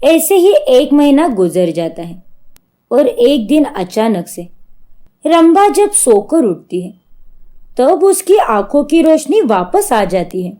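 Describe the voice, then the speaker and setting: A woman's voice: high voice, very animated, slow, warm tone. A woman narrating a scripted story as a storyteller.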